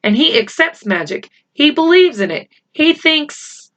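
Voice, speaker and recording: A woman voicing an earnest, cautious young boy who explains insistently and haltingly, in a children's storybook reading. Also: high-pitched, very animated, fairly bright tone, voice pushed up, heavily acted. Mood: earnest, explaining.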